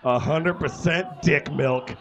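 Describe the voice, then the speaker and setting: A man's voice: a little high, slow, highs cut off, a little hiss or hum. A young man reading a story aloud on a small stage in a theatrical, mock-serious comic style with ad-libbed asides, picked up by a camera a few metres away. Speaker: live comedy reader. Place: small indoor stage with curtains.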